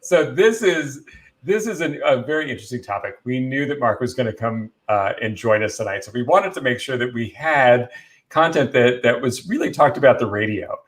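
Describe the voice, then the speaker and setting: A man with an average-pitched voice co-hosts a casual live show. He addresses viewers informally, halting and repeating phrases for emphasis as he presents. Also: expressive. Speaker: talk show co-host.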